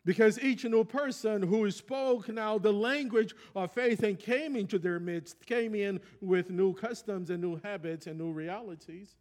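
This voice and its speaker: Pastor: man, Brazilian accent, native Portuguese, fairly high voice, conversational preaching with personal stories. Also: measured pace, few pauses, a little mellow. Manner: reflective storytelling, conversational. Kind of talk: sermon.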